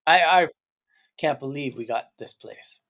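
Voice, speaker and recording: A man, a guest on a podcast, talking on a call from his office in a halting way, full of restarts. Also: fairly high pitch, expressive, a bit bright. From Canada. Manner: halting, with restarts and "you know, like". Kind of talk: casual interview on a call.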